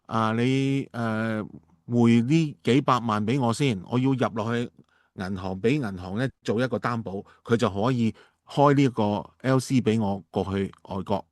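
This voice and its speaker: A man playing a halting but persuasive businessman in a radio drama. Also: mid-range voice, dry recording, clean studio sound, lightly acted. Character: smooth-talking businessman asking a partner for urgent money. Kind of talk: amateur Cantonese radio drama dialogue.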